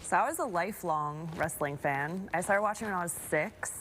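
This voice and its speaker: A woman's voice: on the low side, moderate pace, fairly bright tone, a little hiss or hum, rough recording. A young woman, a wrestler guest on a TV show, talking in a confident, upbeat way. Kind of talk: casual TV interview chat. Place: TV studio.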